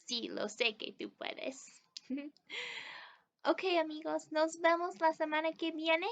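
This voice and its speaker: A young woman doing an expressive picture book read-aloud, addressing young children as a story time reader. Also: high-pitched, measured pace. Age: young adult. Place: living room indoors.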